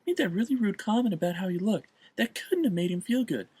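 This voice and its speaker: Casting: actress as sassy, indignant kid sister for audio drama; lively swings in pitch, slightly rough tone, close dry sound. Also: female voice, fairly quick, no echo. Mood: indignant, gossipy. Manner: animated, scolding, emphatic. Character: sassy, indignant young sister bickering with her brother.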